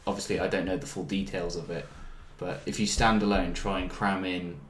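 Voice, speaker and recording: Low-voiced man in an echoey, noisy room. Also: little pitch movement, a little fast. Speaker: podcast co-host. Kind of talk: casual discussion chat. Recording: echoey room with background noise.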